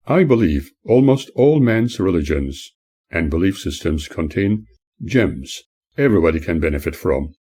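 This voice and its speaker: A man reading scripted audiobook-style narration in a measured, earnest, oratorical way. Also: low-pitched, expressive, warm tone. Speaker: author reading own book.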